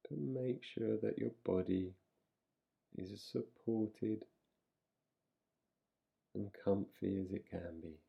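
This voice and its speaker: A man guiding a relaxation meditation in a soothing, unhurried manner, leaving long pauses between short phrases. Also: lowish voice, vocal fry, slightly rough, muffled recording. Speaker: meditation guide. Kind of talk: guided relaxation meditation, yoga nidra.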